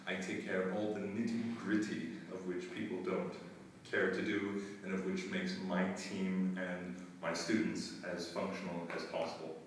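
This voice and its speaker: Middle-aged American-born administrator, earnest and measured, deep voice, heard from across a roomy space. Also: male voice. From United States. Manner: earnest, measured, with frequent filler pauses. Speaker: school administrator. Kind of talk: interview answers. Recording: roomy echo, distant mic, faint noise.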